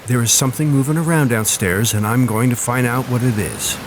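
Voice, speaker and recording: A man's voice: medium pitch, fairly quick, background noise, lightly acted. Man playing a scornful, sensible elder sister. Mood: determined. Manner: scolding, dismissive. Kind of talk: dramatic horror story reading.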